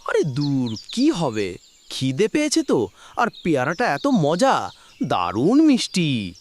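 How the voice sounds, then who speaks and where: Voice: mid-range voice, wildly swooping pitch, slow, a little hiss or hum. An actor playing a young schoolboy in a children's cartoon. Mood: dismissive. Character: cheeky careless schoolboy, later whining with bellyache.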